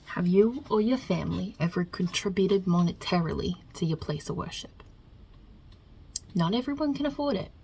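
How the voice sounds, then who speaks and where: Woman doing a husky, low-voiced New York Italian mob-boss God. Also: animated, normal speed, a little mellow, heavily acted, low-quality recording. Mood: dry, teasing. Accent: put-on Italian-American New York. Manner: hesitant, wry, muttering asides. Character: non-binary Italian mob boss God, wry, casual interviewer. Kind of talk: ASMR roleplay.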